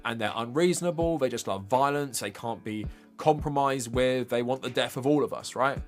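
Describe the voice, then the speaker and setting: A man's voice: mid-range voice, moderate pace, soft background music. A man with an English accent giving informal, opinionated political commentary as a livestream presenter, speaking in a conversational, emphatic way.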